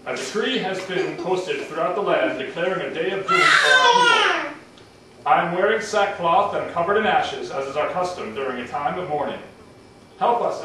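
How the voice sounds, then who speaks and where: Guy doing solemn pleading uncle in a puppet show, high voice, mic far off in echoey room. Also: big pitch swings, moderate pace. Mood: mournful, pleading. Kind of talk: amateur puppet show acting. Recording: echoey room, distant mic, faint noise.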